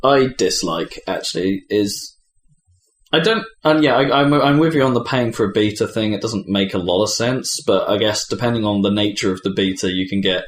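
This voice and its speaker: A man in casual, opinionated conversation about games, often halting and repeating words as he works out his point. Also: on the low side. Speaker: games podcast panelist; game developer. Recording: echoey room.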